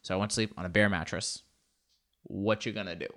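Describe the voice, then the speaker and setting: A man's voice: sits low, a little fast. A man in his late twenties telling a comedic story in a rambling, casual way, swearing often. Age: 20s. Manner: rambling, animated, swears a lot.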